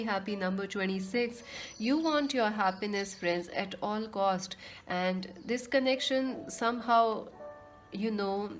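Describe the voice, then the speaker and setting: A woman's voice: medium pitch, fairly bright tone. A woman with a sweet voice doing a casual tarot card reading, speaking earnestly to viewers and calling them friends. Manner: earnest, repeats herself, addresses listeners as friends. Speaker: tarot reader.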